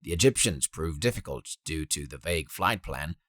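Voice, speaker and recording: A man's voice: rumbling low, expressive, moderate pace, fairly bright tone, no echo, studio quality, voice pushed down. A man voicing a cool, methodical operative in an audiobook, precise and businesslike.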